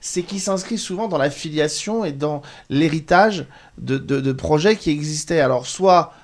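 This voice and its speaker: High-pitched male show host. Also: normal speed. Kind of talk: conversational interview hosting.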